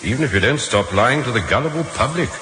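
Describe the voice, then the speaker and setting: An actor in an old radio drama playing a skeptical Victorian gentleman, mocking and dismissive. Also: mid-range voice, animated, quick pace, close to an everyday voice. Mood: needling. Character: scornful, skeptical Victorian gentleman, dry and needling. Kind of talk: 1940s radio drama acting. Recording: very noisy old radio, long reverb.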